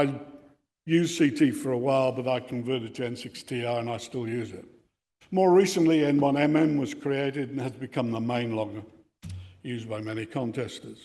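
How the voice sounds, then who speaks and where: An older man giving an informal explanatory talk, reflective and halting in his phrasing. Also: mid-range voice, darkish tone. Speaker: presenter giving a talk on radio contesting. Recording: reverberant hall sound.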